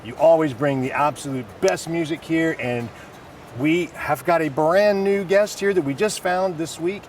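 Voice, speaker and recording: A man's voice: fairly high pitch, normal speed. Upbeat male host, distant mic. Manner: upbeat, chatty, laughs often. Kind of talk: casual interview. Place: outdoors at a festival. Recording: distant mic, faint street noise.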